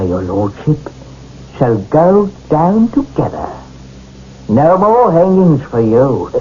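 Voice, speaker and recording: An actor playing a bitter, vengeful condemned English prisoner, mocking and theatrical in a radio drama. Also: male voice, a little high, a little mellow, slight background noise. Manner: theatrical, mocking, swooping declamation. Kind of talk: 1970s radio drama acting. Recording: muffled old radio broadcast.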